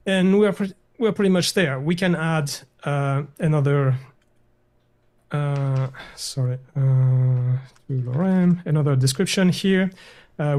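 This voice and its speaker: Man hesitantly walking through a software demo. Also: on the high side. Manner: hesitant, many fillers and restarts. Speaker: guest software developer. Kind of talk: screen-share software demo.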